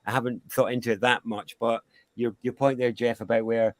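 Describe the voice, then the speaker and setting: A man's voice: mid-range voice, little pitch movement, brisk, no echo. Musing Scottish man, dry close sound. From Scotland. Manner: musing, rambling with restarts and asides. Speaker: guest sound healer. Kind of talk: casual conversation.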